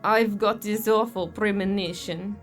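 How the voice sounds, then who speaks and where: A woman's voice: medium pitch, steady tone, unhurried, faint music behind, close to an everyday voice. A woman playing a worried, bitter young girlfriend in an audio horror drama, speaking earnestly and with exasperation. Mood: uneasy.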